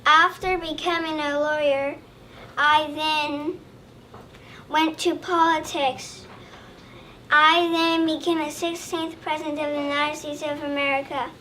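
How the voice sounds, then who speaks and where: A young girl of about eight or nine giving a halting, rehearsed school presentation in the first person as a historical figure. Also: sits high, little pitch movement, pushed and bright. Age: child, about 8 or 9. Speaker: third grade student presenter. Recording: distant mic, faint room noise.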